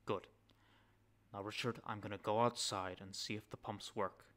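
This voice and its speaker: A male narrator voicing a calm, firm man who talks low and quietly, keeping control. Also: moderate pace, lightly acted.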